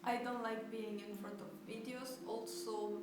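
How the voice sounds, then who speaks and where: A woman's voice: on the high side, level tone, measured pace. A shy woman from Romania, whose first language is Romanian, speaking English with a Romanian accent and laughing nervously between phrases. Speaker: co-host asking questions. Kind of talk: shy casual talk to camera. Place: at home. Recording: very echoey room, distant mic, loud music. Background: music.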